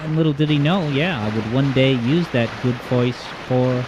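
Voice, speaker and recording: A man hosting a gaming livestream from home, reading viewer comments aloud in a rambling, joking, self-deprecating way, with a smooth voice. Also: medium pitch, moderate pace, highs cut off. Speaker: livestream host. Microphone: streaming mic with boosted EQ. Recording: steady background noise.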